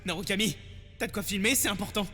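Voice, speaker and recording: Man playing a whiny, high-voiced anime teenage boy. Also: quick pace, lightly acted. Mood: uneasy. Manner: complaining, wisecracking. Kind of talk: anime fan dub acting. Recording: hall reverb, music behind.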